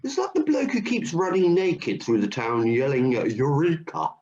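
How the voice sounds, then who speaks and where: A man's voice: a little high, big pitch swings, moderate pace, a little mellow. A man playing Hercules, a keen, overconfident hero looking for work in a comic radio play. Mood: earnest. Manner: cheerfully overconfident, matter-of-fact. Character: Hercules, strong, keen, job-hunting hero. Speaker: job seeker. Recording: dull lo-fi audio.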